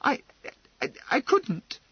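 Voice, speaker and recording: A man's voice: slow pace, no room sound, highs cut off, lightly acted. A man voicing a timid, frightened young woman who stammers and pleads, in a storybook reading. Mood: pleading. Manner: stammering, breathless protests.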